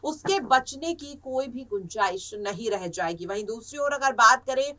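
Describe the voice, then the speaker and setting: High, bright-voiced woman news presenter, distant mic. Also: lively intonation, a little slow. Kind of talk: news commentary, semi-scripted report.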